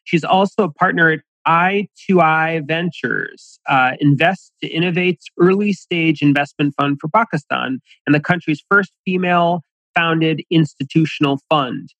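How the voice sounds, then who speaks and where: Man, podcast host, friendly, hesitant restarts, higher voice. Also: a little slow, a bit bright, dry recording, poor audio. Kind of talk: podcast interview.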